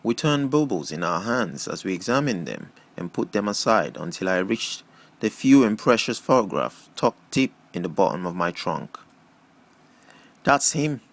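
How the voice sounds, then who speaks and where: Low-voiced man reading a story, animated. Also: normal speed, vocal fry. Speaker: audiobook reader. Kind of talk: scripted first-person story narration.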